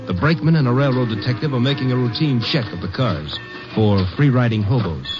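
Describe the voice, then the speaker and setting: A man narrating a 1950s crime radio drama in a formal, measured, scripted style. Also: medium pitch, animated. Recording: dull old radio recording. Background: music.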